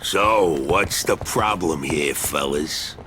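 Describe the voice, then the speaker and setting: An actor playing a crooked, domineering middle-aged mob boss with a working-class East Coast American accent, in dramatic game cutscene style. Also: male voice, rumbling low, slow pace, noisy background. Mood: menacing, dismissive. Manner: blunt, domineering, profane. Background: background music.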